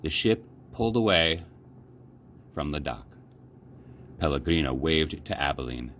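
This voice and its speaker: A man reading a children's story aloud as an expressive narrator, like a librarian at story time. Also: extremely low pitch, measured pace, slight background noise.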